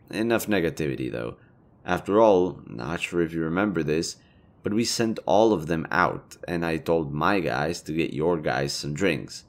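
Man acting as low-voiced, awkwardly flirty elven leader. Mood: wry. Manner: conversational, hesitant, rambling, casually profane. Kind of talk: intimate roleplay audio drama.

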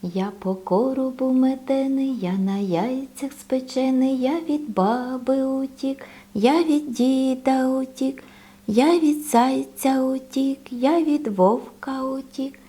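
A woman's voice: high voice, dark tone. A woman voicing a cheeky little runaway bread roll in a childlike, sing-song chant for a children's fairy tale.

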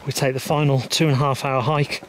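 A man's voice: mid-range voice, flat delivery, fairly quick, a little hiss or hum. A middle-aged man talking casually to a handheld camera outdoors on a mountain trail, upbeat and chatty. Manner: chatty, upbeat, thinking aloud. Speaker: travel vlogger. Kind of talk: casual hiking vlog narration. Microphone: action camera at arm's length.

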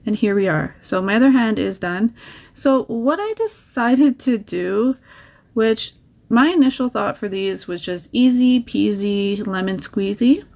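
A woman narrates her nail painting in a relaxed, chatty way, dropping practical tips and encouragement as she goes. Her voice sits at a middle pitch with an easy, conversational flow. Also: a little slow, creaky. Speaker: nail art creator. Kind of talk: casual tutorial voice-over.

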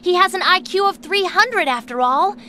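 A woman voicing a shrewd, bossy young female bird in a video game, brisk and superior in manner. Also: very high voice, animated, pushed and bright, full character voice. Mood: shrewd, persuasive. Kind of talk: video game cutscene acting.

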